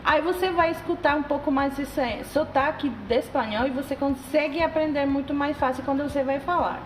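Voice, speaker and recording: High woman in an echoey room. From Colombia. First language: Spanish. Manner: halting, with fillers and repeated phrases. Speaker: language tutor guest. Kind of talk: casual spoken tips. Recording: echoey room, faint ambient noise.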